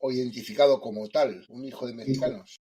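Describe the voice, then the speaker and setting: A man's voice: mid-range voice, fairly even intonation, fast, dark tone, breathy edge. A man interviewing a guest with probing, mildly challenging questions.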